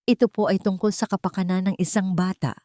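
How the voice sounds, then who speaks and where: A woman's voice: medium pitch, steady tone, fast talker, mellow, no echo, clean studio sound, close to an everyday voice. A woman voicing a humble, deferential single mother who pleads in an audio story. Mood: insistent pleading. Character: pleading, timid young single mother, a housemaid.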